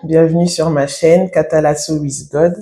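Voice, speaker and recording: Halting woman in her thirties giving testimony. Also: sits low, fairly even intonation, dark tone. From France. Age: 30s. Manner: halting, reflective, many pauses. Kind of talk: personal faith testimony. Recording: echoey room.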